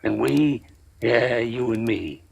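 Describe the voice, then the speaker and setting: A gravelly, folksy gangster. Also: male voice, medium pitch, slow pace, slight background noise. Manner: drawling, folksy, quietly menacing. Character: wary middle-aged male gangster, ex-preacher. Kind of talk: 1940s radio drama acting.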